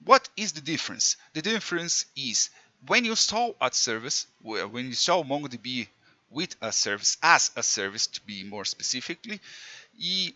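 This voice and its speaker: A man from Brazil with a Brazilian Portuguese accent, a native Portuguese speaker teaching programming in halting English with many restarts. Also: mid-range voice, big pitch swings, sharp tone, dry recording. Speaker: programming tutor. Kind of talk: screen-recorded coding tutorial.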